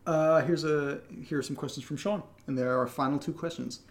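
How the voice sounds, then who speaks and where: A man co-hosting a podcast in casual banter, reading out listener questions with a steady, dry, deadpan manner. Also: on the high side, fairly quick.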